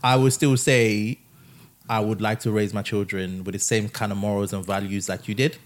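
A young man hosting a casual family podcast, teasing and laughing easily, often trailing off quietly. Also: fairly low pitch, fairly even intonation, normal speed. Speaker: podcast host.

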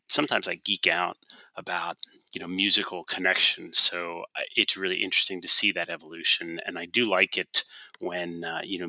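A man's voice: low-pitched, bright, forceful tone, dry recording, poor audio. A man leaving a casual voice message as a podcast caller.

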